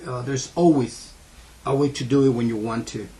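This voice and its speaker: A man in his forties from Ecuador with a heavy Spanish accent, telling his life story in an interview, earnest and halting with many fillers and restarts. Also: medium pitch, fairly even intonation, warm tone. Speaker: interviewee, school owner.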